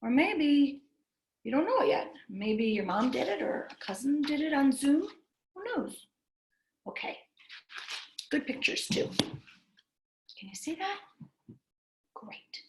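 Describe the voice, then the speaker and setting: A woman cantor hosts a story time for children in a high-pitched voice. She takes her time and puts simple questions to her young listeners. The room adds a clear echo. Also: very animated, slow pace, pauses often, noisy background. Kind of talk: children's story time, talking and reading aloud.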